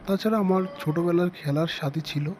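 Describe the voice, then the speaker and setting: A man narrates a first-person ghost story in a mellow, soft-edged voice. His pitch sits a little high for a man, and faint noise runs behind him. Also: brisk.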